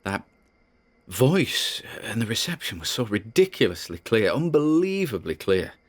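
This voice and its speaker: A spooked, rambling man records his private audio log. His voice sits low and he speaks haltingly, nervously circling his thoughts as in a dramatic audio drama monologue. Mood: unnerved, urgent.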